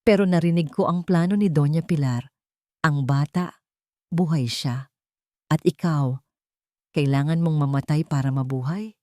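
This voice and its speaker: A woman voicing a grave, urgent male rescuer in an audio drama, speaking in a hushed, serious way. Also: on the high side, a little slow, long pauses, dry recording, studio quality, natural voice. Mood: sorrowful, grave.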